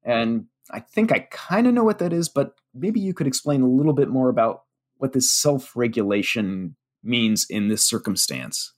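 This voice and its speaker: Husky chatty man with a Pennsylvania Dutch accent. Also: mid-range voice, lively intonation, normal speed. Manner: chatty, with fillers and self-deprecating jokes. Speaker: media relations director. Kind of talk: casual podcast chat.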